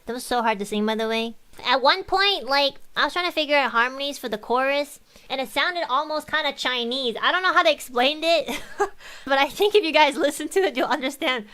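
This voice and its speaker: A woman's voice: sits high, animated, fairly quick, sharp tone. A woman singer talking casually through the making of her song, chatty and full of "like". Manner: chatty, fills talk with "like". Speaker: singer and streamer. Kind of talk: casual behind-the-scenes commentary. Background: faint music from her track playing.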